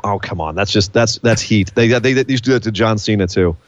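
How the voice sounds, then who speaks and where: A man's voice: lowish voice, fast, dry recording, dull audio. Man chatting casually on a podcast. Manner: animated, playful, hypes announcements. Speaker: podcast co-host. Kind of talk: casual wrestling podcast chat.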